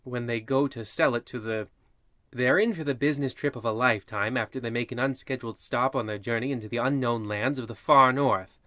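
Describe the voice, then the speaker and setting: A man performs a macabre old-time radio horror host. His forceful, bright voice is theatrical yet sardonically deadpan, in a dry studio-like sound. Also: mid-range voice, brisk, no room sound, lightly acted. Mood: sardonic. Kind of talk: parody 1940s radio horror narration.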